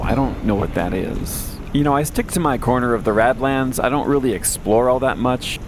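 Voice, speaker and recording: A man acts out a lone wasteland radio host in an audio drama, with a mid-pitched voice. He rambles conversationally, wry and a little anxious, with self-deprecating deadpan. Noticeable ambient noise sits behind him. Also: lightly acted. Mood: chatty. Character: wry, anxious post-apocalyptic male radio host wandering dangerous wastelands. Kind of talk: conversational audio drama monologue, answering listener messages.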